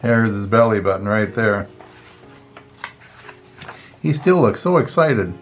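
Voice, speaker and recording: A man's voice: lowish voice, lively intonation, slow, soft background music, rough recording. Older grandpa, folksy storytelling man. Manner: folksy, rambling, often saying "anyway". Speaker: grandfather reading picture books to children. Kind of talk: casual storytelling and chat to children.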